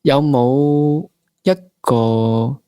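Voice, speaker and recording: Man, late twenties, Hong Kong Cantonese accent, mid-pitched, dry sound, reflective interviewer, conversational, occasional English words. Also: fairly even intonation, very slow, mellow, smooth, no echo. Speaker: interviewer, fellow actor.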